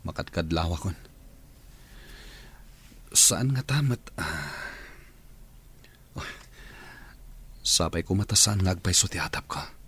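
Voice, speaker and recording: A man's voice: deep voice, animated, slow, pauses often, fairly bright tone, husky, exaggerated voice. A man playing a mild married man torn by temptation in a radio drama, hesitant and earnest, with an Ilocano accent. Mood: hesitant, guarded. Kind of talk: Filipino radio drama acting.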